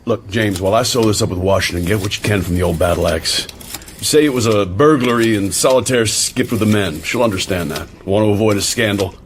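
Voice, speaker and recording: A man's voice: fairly low pitch, brisk, a little hiss or hum, close to an everyday voice. A man playing a weary, dogged agent in a radio drama. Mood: businesslike. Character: weary, dogged American male agent, Bond's partner.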